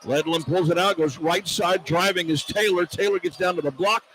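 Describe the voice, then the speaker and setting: A man with a fairly high voice works as a radio play-by-play announcer, calling basketball live from courtside. Loud arena noise sits behind him throughout. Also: normal speed, low-quality recording.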